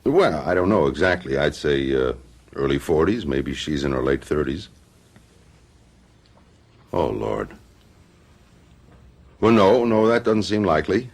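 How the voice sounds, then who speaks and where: A man's voice: a bass voice, very animated, measured pace, long pauses, a little mellow, full character voice. An actor playing a protective, troubled husband in a radio drama, careful and a little hesitant in his speech. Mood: concerned. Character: steady, protective husband, troubled but calming. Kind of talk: old-fashioned radio drama acting.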